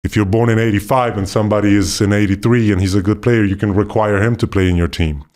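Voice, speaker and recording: Male podcast co-host from Poland, lowish voice, relaxed and rambling, upbeat. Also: quick pace. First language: not Polish; left Poland at five. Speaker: podcast co-host, former basketball player. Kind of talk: casual podcast conversation.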